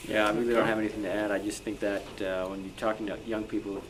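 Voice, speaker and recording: A man's voice: lowish voice, level tone, fast. A man making casual remarks as a panelist at a club meeting. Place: meeting room. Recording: noisy live meeting room.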